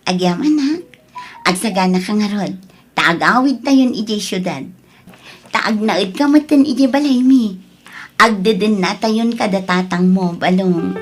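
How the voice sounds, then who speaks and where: A woman playing an affectionate, gentle schoolteacher in an audio drama, tender and coaxing, with a Filipino accent and a husky voice. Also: medium pitch, measured pace, faint music behind, close to an everyday voice. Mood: motherly.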